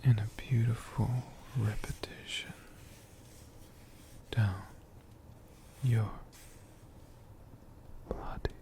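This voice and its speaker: An ASMR storyteller of unclear sex telling tales in a hushed, drawn-out way, with long pauses and repeated words. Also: mid-range voice, steady tone, creaky.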